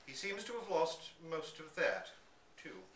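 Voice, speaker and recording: A man voicing a flustered, indignant country gentleman with a frantic, blustering manner, as in a storybook reading. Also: on the high side, big pitch swings, unhurried, voice pushed up. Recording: echoey room from a distance, noisy.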